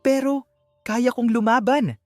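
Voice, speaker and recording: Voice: medium pitch, fairly even intonation, measured pace, no echo, voice pushed up, natural voice. Resolute, tender-hearted woman, voiced by a story narrator: emphatic, earnest delivery over a music bed. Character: weary, resolute, compassionate woman ready to fight.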